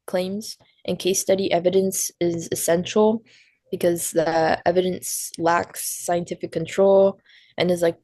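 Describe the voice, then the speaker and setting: A woman's voice: lowish voice, creaky, a little mellow, no echo. A young college woman with a stuffy nose, hesitantly reading out her notes in a study group. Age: young adult. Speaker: college student.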